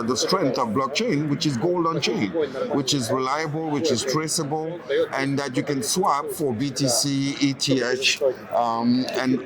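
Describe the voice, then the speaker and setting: A man from Cameroon, a company founder being interviewed, talking freely and often checking in with "right?" and "you know". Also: mid-range voice. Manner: talkative, fluent, leans on "right?" and "you know". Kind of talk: casual interview. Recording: loud music behind the voice.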